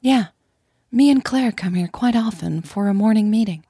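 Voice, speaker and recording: A woman playing an anxious, caring young woman in a romantic audio drama, with a tentative, gently questioning manner. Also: medium pitch, lively intonation, normal speed, husky, no room sound, clean studio sound. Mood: casual.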